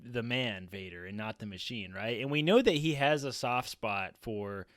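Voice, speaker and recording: A man's voice: mid-range voice, moderate pace, no room sound, clean studio sound. A man in his thirties chatting casually and emphatically as a podcast panelist, often checking in with "right?". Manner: animated, emphatic, frequently adds "right?". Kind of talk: casual roundtable chat. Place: small home room on a group video call. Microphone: close podcast mic.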